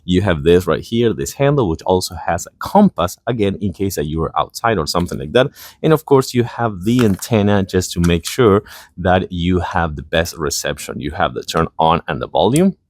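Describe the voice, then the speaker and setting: A man's voice: low voice, lively intonation, normal speed, a little mellow. A man giving a casual, enthusiastic product review, showing and explaining things informally to the viewer.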